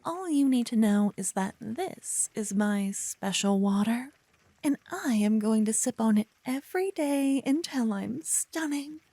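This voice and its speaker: A woman playing a silly, adorable young paladin in an ASMR audio roleplay, teasing and theatrical, hushed in places. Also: on the high side, expressive, measured pace, no room sound. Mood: defiant, dreamy. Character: adorable silly young female paladin, bossy, smitten, playful know-it-all.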